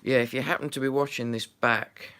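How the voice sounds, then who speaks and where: A man's voice: medium pitch, steady tone, brisk, pushed and bright, clear, resonant tone. A man chatting casually on a live stream while building, rambling with lots of fillers and muttering to himself, a little stuffy from a runny nose.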